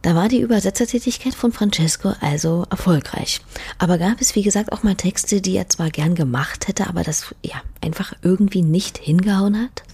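A woman presenting a radio feature, reading her questions and links in a soft, measured, half-whispered way. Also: mid-range voice, husky. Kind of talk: scripted radio feature narration.

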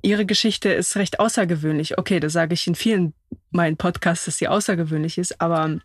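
A woman hosting a casual interview podcast from inside a camper van, with an excited, effusive manner. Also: fairly low pitch, fairly quick, no room sound, clean studio sound. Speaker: podcast host.

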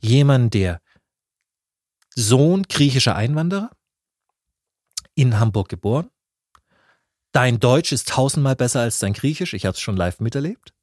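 A man's voice: mid-range voice, animated, unhurried, long pauses, darkish tone, dry recording, studio quality. A man co-hosting a casual podcast, rambling with many restarts and blunt, coarse remarks. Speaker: podcast co-host.

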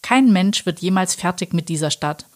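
A woman co-hosting a podcast from a small studio, calm and even as she asks questions. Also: fairly low pitch, normal speed. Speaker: podcast co-host. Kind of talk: casual podcast chat.

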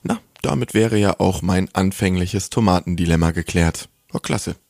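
Guy hosting a podcast, really deep gravelly voice, kinda scripted questions. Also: normal speed, darkish tone, dry recording. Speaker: podcast host.

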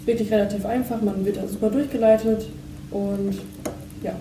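A woman's voice: medium pitch, steady tone, normal speed. A 19-year-old woman, an insurance trainee, talking in an easygoing way that tends to trail off. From Lower Saxony, Germany. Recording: distant echoey mic with clear background noise.

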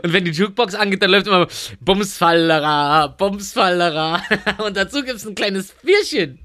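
Bright, forceful, higher-pitched man, rambling casually. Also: lively intonation, moderate pace. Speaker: podcast co-host. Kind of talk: casual banter among friends.

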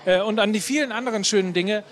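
A man hosting a live football podcast, joking quickly with guests and using fillers. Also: high-pitched. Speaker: podcast host. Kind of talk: live show moderation. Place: live event.

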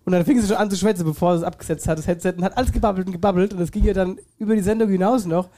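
A man's voice: high-pitched, very fast, dark tone. Stuffy-nosed chatty man. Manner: chatty, laughs often, rambling restarts. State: slightly stuffy with a cold. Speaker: podcast co-host. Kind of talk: casual chat. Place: home studio, seated in armchair. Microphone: headset.

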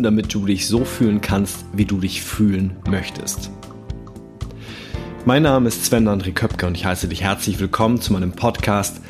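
A man hosting a self-help podcast, speaking calmly and thoughtfully with frequent fillers while he has a cold and coughs now and then. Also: on the low side. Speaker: podcast host.

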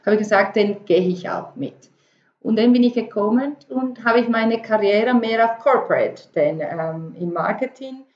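A middle-aged woman from Venezuela with a Spanish accent, telling her story casually and haltingly as a non-native speaker, dropping in English business words. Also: on the high side, a little mellow. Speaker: interview guest, marketer. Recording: muffled, echoey room.

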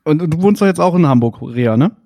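A man's voice: fairly high pitch, animated, fast talker, mellow. A man co-hosting a podcast, asking long, jokey, rambling questions in casual chat. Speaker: podcast co-host.

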